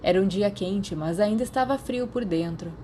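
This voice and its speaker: A woman's voice: mid-range voice, normal speed, mellow, a little hiss or hum. A woman with a Brazilian accent reading scripted fiction as an audiobook narrator. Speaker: podfic narrator.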